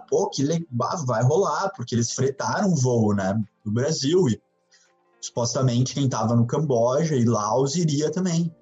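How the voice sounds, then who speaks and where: A man, a podcast guest, telling a travel story in a relaxed, rambling way, full of fillers. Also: medium pitch. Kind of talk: casual chat. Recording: slightly dull, muffled audio.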